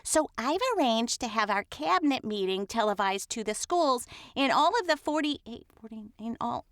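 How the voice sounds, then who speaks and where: A woman's voice: a little high, animated, normal speed, a bit bright, no echo, clean studio sound. A woman playing an eager, dim female education secretary in a comedy, earnest and bumbling as she trails off. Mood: eager, flustered. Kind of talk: satirical audio comedy acting.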